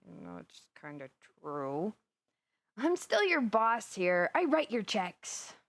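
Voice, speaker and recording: A woman's voice: medium pitch, wildly swooping pitch, slow, vocal fry, no room sound, heavily acted. A woman playing a flustered, lovestruck supervillainess in a roleplay audio drama, chatting intimately and dramatically to her best friend. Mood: embarrassed, indignant. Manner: chatty, dramatic, playfully indignant, with sighs and gasps. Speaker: voice actor.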